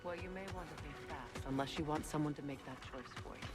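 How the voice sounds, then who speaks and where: A woman plays a resolute exiled goddess queen in a game drama. Her voice sits fairly low, solemn and emphatic, carrying wounded pride. Music plays clearly behind her. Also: quick pace, natural voice. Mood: grave, wary. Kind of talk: dramatic video game acting.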